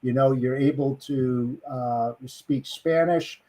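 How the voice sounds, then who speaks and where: A man's voice: medium pitch, fairly even intonation, slow, warm tone, rough recording. A man hosting a podcast interview, supportive and casual, often stumbling over his words. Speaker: podcast host.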